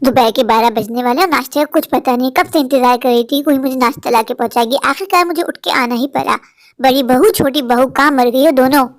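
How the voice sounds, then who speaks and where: A woman's voice: high-pitched, a little fast. A woman voicing a bossy, self-pitying older mother-in-law who scolds and complains in a comic family skit. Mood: scolding. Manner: scolding, complaining, shouting for her daughters-in-law.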